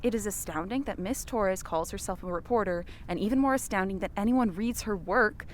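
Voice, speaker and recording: A woman's voice: mid-range voice, a little fast, slight background noise, lightly acted. A woman playing an earnest, easily outraged reporter who speaks emphatically in an audio drama. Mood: scornful. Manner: emphatic, righteous, wordy.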